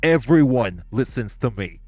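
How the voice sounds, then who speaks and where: A man's voice: mid-range voice, very animated, darkish tone, faint music behind. A man playing a hotheaded New gangster. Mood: angry. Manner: foul-mouthed, defiant, quick to anger. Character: volatile male New York gangster boss. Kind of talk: audio roleplay acting.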